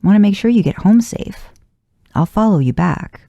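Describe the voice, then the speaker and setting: A woman voicing a gruff, flustered, protective man in an audio story, halting and defensive. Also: medium pitch, expressive, mellow, no room sound, dull audio, voice pushed up. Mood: earnest.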